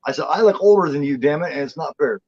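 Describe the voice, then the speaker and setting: A man's voice: on the high side, lively intonation, quick pace, a little mellow, dry recording, muffled recording. A man in his forties chatting as co-host, blunt and profane, rambling with lots of restarts. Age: 40s. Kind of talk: casual chat.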